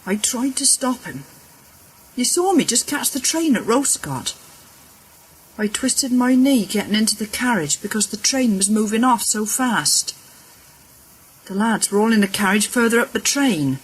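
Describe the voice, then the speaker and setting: A middle-aged woman playing a fretful, tender village mother of a soldier in a radio play, with a husky voice. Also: fairly high pitch, lively intonation, unhurried, low-quality recording. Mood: sorrowful.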